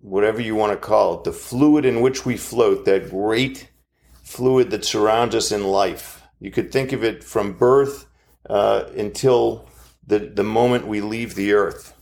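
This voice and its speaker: Man in his fifties, gravelly, unhurried; earnest reflective speaker with pauses. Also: fairly low pitch, fairly even intonation, darkish tone. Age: 50s. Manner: slow, measured and earnest, with pauses. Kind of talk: reflective motivational monologue.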